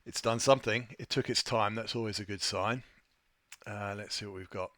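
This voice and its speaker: A man presenting an unscripted software tutorial, thinking aloud with a hesitant delivery as he walks through his screen. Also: on the low side, steady tone, normal speed, no room sound. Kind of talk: unscripted screen-share walkthrough.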